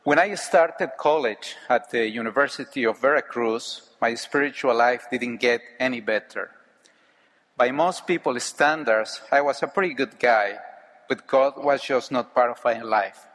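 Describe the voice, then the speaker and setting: A middle-aged man from Mexico, speaking English with a Mexican Spanish accent, giving an earnest, measured personal testimony speech. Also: medium pitch, fairly bright tone. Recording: hall with long reverb.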